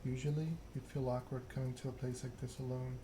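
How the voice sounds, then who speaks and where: Male ASMR narrator reading second-person fiction. Measured, unhurried, narrow pitch range, with clear background noise. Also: little pitch movement. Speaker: video game streamer reading game text aloud.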